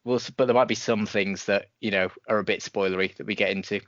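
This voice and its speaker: A man's voice: lowish voice, steady tone, fast, a bit bright, no room sound. A man hosting a review podcast, chatting casually. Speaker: podcast host. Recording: dull, dry podcast audio.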